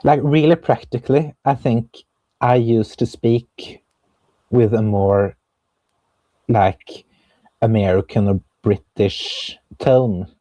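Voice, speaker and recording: Young Norwegian-accented man, guest composer, slow and reflective, dry close sound. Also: medium pitch, fairly even intonation, dark tone, no room sound. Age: young adult. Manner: thoughtful, searching for words with many pauses and fillers. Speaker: composer and viola player, guest. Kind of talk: casual conversation.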